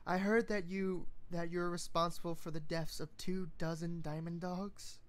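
An amateur reader voicing a regal female alicorn ruler in a story, quiet, controlled and grave. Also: mid-range voice, level tone, moderate pace, natural voice. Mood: restrained.

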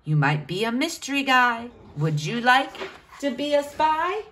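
A woman's voice: on the high side, sing-song, unhurried, a bit bright, a little hiss or hum. A woman reading a picture book aloud to children, with a sing-song, questioning delivery.

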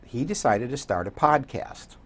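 A man's voice: medium pitch, lively intonation, normal speed, fairly bright tone, breathy edge. A man in his sixties chatting as an interview guest, telling stories in a rambling, conversational way. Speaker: radio program director, guest. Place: small TV studio, indoors.

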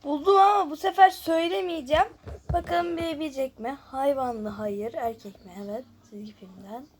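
A woman's voice: high voice, brisk, slight background noise. A woman hosting a casual gameplay video, chatting and thinking aloud as she reads out questions and answers them. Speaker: YouTube host.

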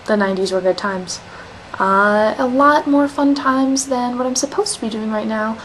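A young woman vlogs casually from a room at home. Her voice sits at an average pitch, and she talks in a wry, emphatic way. The webcam recording carries a light layer of room noise. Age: young adult. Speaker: vlogger in a collaborative channel. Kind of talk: casual chat to camera. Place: small indoor room at home. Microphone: webcam or laptop mic at desk distance. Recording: noisy webcam audio with room ambience.

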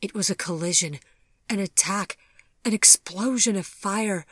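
A woman's voice: mid-range voice, unhurried, a bit bright, slightly rough, dry recording, studio quality, pitched above the performer's own voice. A woman voicing a remorseful, tormented male monster lover in an audiobook, pleading and intense. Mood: impassioned.

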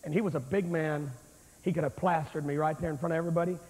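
A man in his forties preaching a revival sermon on a large church stage with a handheld microphone, telling a story in an animated, confrontational way. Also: a little high, fast talker. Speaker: evangelist preacher. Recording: long hall reverb, old VHS tape.

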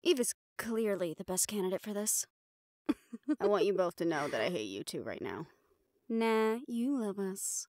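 A woman's voice: medium pitch, sing-song, unhurried, darkish tone, no room sound, clean studio sound. A woman performing an audio roleplay, talking in casual, profane, wry banter.

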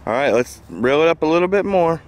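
A man's voice: on the high side, expressive, brisk, noisy background. A man from Southern Illinois, a father filming a home video, explaining a toy fishing pole and coaching his child step by step in a casual, patient way.

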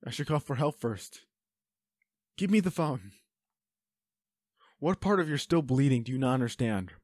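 A protective, self-loathing werewolf boyfriend acted by a man in an intimate audio drama. He speaks in a mid-pitched male voice, urgent and emotional, in a very dry recording with no room around it. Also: lively intonation, normal speed, darkish tone, no room sound, natural voice. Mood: worried, insistent. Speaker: audio roleplay voice actor. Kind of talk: intimate dramatic roleplay acting.

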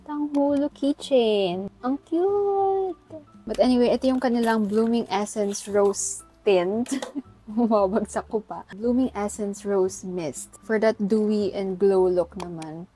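A woman's voice: mid-range voice, warm tone, slight background noise. A young woman with a Filipino accent, chatting casually in mixed Taglish as she unboxes items, often saying "guys", seated on her bedroom floor with a clip-on mic. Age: young adult. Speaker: vlogger. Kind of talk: casual haul chat.

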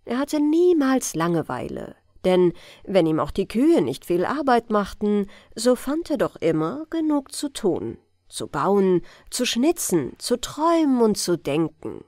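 Woman, German accent, bedtime fairy-tale reading, animated lilting pitch, dry close sound. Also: unhurried, few pauses, no room sound. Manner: gentle, lilting storyteller delivery. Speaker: audiobook reader.

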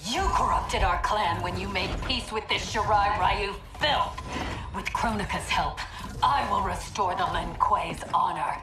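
A woman's voice: medium pitch, unhurried, over music, natural voice. A woman playing a resentful, defiant young cyborg ninja in a video game, speaking with haughty, accusing bitterness. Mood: bitter, defiant. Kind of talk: dramatic video game acting. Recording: echoey, under game score.

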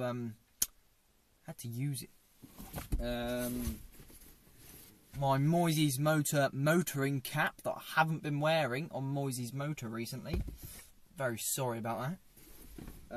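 A man's voice: medium pitch, slight background noise. Dry, joking young man in a car. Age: young adult. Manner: dry, self-mocking, chatty. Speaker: vlogger. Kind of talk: casual car tour vlog. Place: parked small car, indoors. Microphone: fixed dashboard camera.

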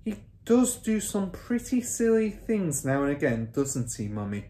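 A woman voicing a quiet, sly little girl from a children's story, speaking in an innocent, matter-of-fact way. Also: lowish voice, sing-song, measured pace, roomy sound, voice pushed up. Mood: mildly helpful.

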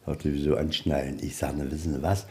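A man with a very deep, mellow voice leads an informal tour. He rambles in a folksy way, tagging his sentences and spinning anecdotes as he goes. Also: flat delivery, a little fast. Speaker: museum guide. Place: inside a museum, on location.

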